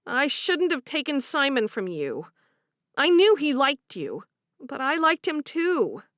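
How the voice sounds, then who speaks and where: A fretful young woman on the edge of tears, as a woman reads her, her voice pitched up high and lifted louder than the narration. She pleads and complains softly through a storybook scene, recorded close and dry. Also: very animated, takes it slowly, no room sound, full character voice. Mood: tearful. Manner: soft, pleading, tearful.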